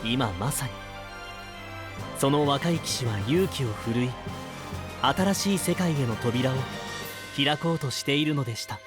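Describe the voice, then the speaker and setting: A male narrator tells a fairy tale in a polite, solemn register. His voice sits at a middling pitch with measured phrasing. Music is clearly audible underneath. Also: lively intonation.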